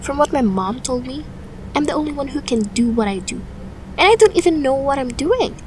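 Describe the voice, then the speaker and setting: A woman's voice: high voice, lively intonation, brisk, a little mellow. A woman acting a vulnerable, frustrated young woman in an audio drama, with an emotional, plaintive delivery. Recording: noisy background hiss or ambience.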